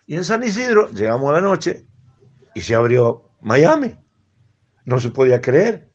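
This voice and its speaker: Argentine man, tango singer guest, animated storyteller, muffled lo-fi radio sound. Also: on the high side, measured pace. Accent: Argentine Spanish. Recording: dull lo-fi radio audio.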